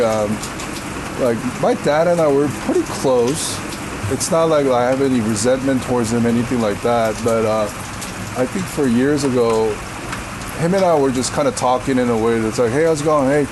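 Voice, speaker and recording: A man in his forties from Korea with a deep voice, telling stories casually as a podcast guest, laughing often and using many fillers. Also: noisy background. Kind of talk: casual storytelling.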